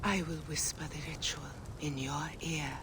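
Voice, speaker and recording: A woman's voice: low-pitched, steady tone, normal speed, noisy background, natural voice. A woman voicing a whispering ghost queen. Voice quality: breathy, whispery. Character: ghostly queen spirit, regal, wistful. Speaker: game character. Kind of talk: video game cutscene acting.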